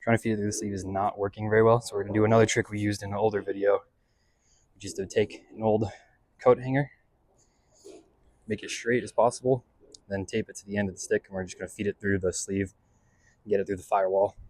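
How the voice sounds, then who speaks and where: A man's voice: on the low side, little pitch movement, fast, long pauses, a little mellow, no echo. A man casually narrating his hands-on car install as a vlog host, thinking aloud in a laid-back, slangy way. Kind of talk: casual narration while working on a vehicle. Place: in and around a pickup truck.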